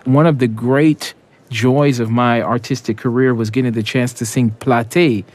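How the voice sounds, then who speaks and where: A man's voice: medium pitch, fairly even intonation, normal speed, a little mellow. Tenor guy being interviewed, thoughtful, restarts a bit. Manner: reflective, with small restarts. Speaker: opera tenor. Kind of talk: interview answers.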